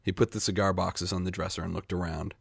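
Deep gravelly male story narrator. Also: little pitch movement, fast, dry recording, studio quality. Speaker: short story reader. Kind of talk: scripted literary fiction narration.

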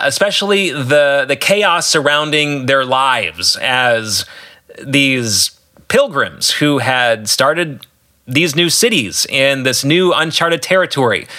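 A man's voice: medium pitch, sharp tone. A man with an American accent giving conversational commentary on his own story as a podcast host and author, with emphatic, storyteller-like phrasing. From West Michigan, United States.

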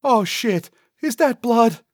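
A man voicing a panicky, whiny, dim male sidekick in an audiobook, protesting in a strained falsetto. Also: very animated, slow, no room sound, clean studio sound, exaggerated voice. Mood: indignant, incredulous. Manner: agitated, protesting, incredulous.

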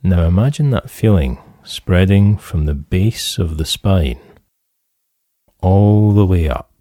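A man leading a guided relaxation as a therapist, calm and soothing, with measured instructions and pauses. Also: low voice, no echo, studio quality.

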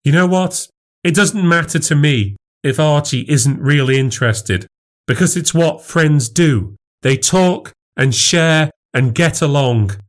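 A man's voice: medium pitch, fairly even intonation, a little slow, few pauses, natural voice. A man voicing an indignant, sincere wife in audiobook dialogue, exasperated and defensive.

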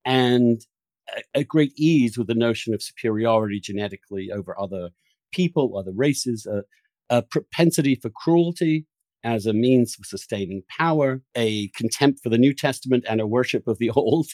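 A man hosting a podcast, talking through opinionated political points in a halting, emphatic way, restarting phrases as he goes. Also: medium pitch, a little mellow, dry recording. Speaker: podcast host.

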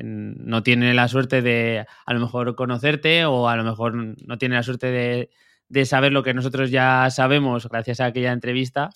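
A man co-hosting a podcast, reading a sponsor spot and chatting with a guest in a relaxed way with many hesitations. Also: medium pitch, clean tone. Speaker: podcast co-host. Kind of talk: ad read and casual interview.

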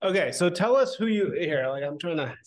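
A man's voice: a little high, animated, a little fast. A man hosting a podcast in a loose, chatty way, interviewing a guest casually. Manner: loose, chatty, laughs easily. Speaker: podcast host.

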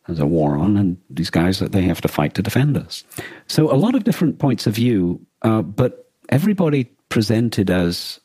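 A man with a very low, noticeably rough-edged voice, recorded close and dry. He talks as a podcast co-host in casual discussion, feeling his way through thoughts and often rephrasing mid-sentence. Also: sing-song, normal speed, warm tone, no echo. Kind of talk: casual discussion of a radio drama and war.

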